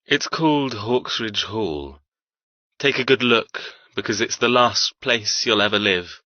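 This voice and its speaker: Tense, menacing rich heir. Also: male voice, on the low side, animated, pushed and bright, no room sound, highs cut off. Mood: cold menace. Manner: threatening, deliberate, arrogant. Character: cold menacing heir, cruel and possessive brother. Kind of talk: dramatic audiobook character acting.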